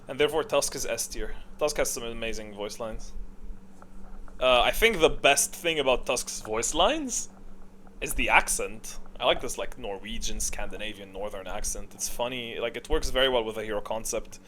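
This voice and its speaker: A man's voice: mid-range voice, lively intonation, normal speed, sharp tone, a little hiss or hum. A man giving casual, off-the-cuff gaming commentary, thinking aloud in a rambling, blunt way.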